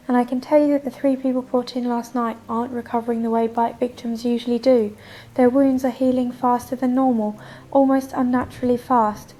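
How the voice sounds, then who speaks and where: An actress playing a concerned hospital night worker calling a radio show, clinical and earnest. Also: female voice, high voice, mellow, a little hiss or hum, natural voice, poor audio. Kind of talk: radio drama call-in report.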